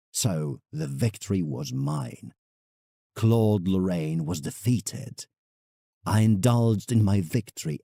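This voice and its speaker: Man playing a husky, proud painter confessing ambitions. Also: lowish voice, mellow, no echo, clean studio sound, exaggerated voice. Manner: dramatic, confessional, boastful. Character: proud, ambitious, self-mocking British landscape painter writing letters. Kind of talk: audio drama first-person narration.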